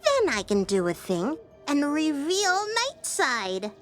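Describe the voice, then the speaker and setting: A woman voicing a quirky, excitable, scatterbrained know-it-all in a video game, rambling with exaggerated emphasis. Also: high-pitched, slow pace, faint music behind, exaggerated voice. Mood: quirky, excited. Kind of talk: video game character acting.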